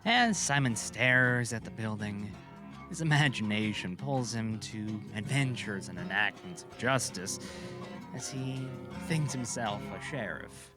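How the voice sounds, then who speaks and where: A man's voice: on the low side, expressive, unhurried, music in the background. A man narrating a scripted audio drama in an informal, amateur reading style.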